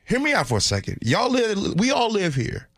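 A man hosting talk radio, bantering in an animated, colloquial way and putting his opinions straight to his co-hosts. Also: sits high, fairly quick, no echo, studio quality. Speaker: radio talk show host.

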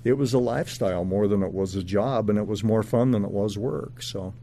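A man's voice: lowish voice, a little fast, warm tone, a little hiss or hum. An American man, middle-aged or older, a former disc jockey reminiscing casually in an interview.

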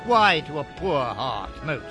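An actor playing an anxious, humbled old miser in an audio drama, speaking in a hesitant, pleading way. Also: male voice, a little high, big pitch swings, measured pace, over music. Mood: hesitant, curious.

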